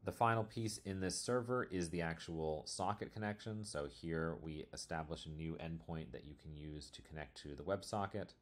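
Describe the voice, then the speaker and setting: A man presenting a software demo in a casual, explanatory screencast tutorial style. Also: very deep voice, normal speed, few pauses. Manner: informal, explanatory.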